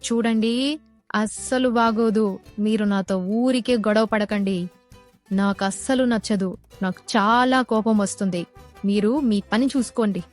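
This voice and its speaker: A woman's voice: medium pitch, steady tone, measured pace, soft background music, close to an everyday voice. A woman voicing a bad-tempered, jealous young village woman in a cartoon story, scolding and sarcastic. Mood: angry, defiant. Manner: scolding, sharp-tongued, sarcastic. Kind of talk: cartoon moral story dialogue.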